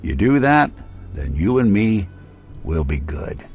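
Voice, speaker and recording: An actor playing a world-weary male synth detective in a game, talking with a gruff, laconic noir drawl. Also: low-pitched, sing-song, takes it slowly, darkish tone, soft background music, heavily acted. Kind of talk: game companion dialogue.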